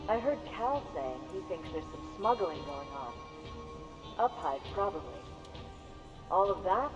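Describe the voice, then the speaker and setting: Cheeky, high-voiced bartender. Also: male voice, big pitch swings, measured pace, music in the background. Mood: teasing, gossipy. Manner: casual, teasing, colloquial. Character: chatty, cheeky small-time bartender in a rough settlement. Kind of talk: video game cutscene acting. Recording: muffled, long echoing reverb.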